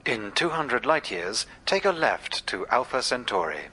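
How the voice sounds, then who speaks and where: A calm navigation machine for radio comedy, giving instructions matter-of-factly. Loud noise surrounds the voice. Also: mid-range voice, a little slow. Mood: calm instructing. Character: calm sat-nav machine voice giving directions.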